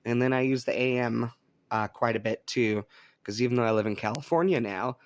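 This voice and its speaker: A man from Colorado hosting a relaxed show-and-tell about his radio gear, easygoing and chatty with frequent ums, speaking indoors at home. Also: mid-range voice, a little fast. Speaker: radio hobbyist channel host. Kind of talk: casual show-and-tell demonstration.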